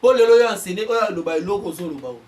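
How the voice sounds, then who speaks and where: A Congolese man, a journalist and political analyst, giving impassioned commentary and getting louder as he presses his points. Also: high voice, lively intonation, a little fast, recorded from a distance, a little hiss or hum. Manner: heated, mixes French and Lingala, bursts louder on points.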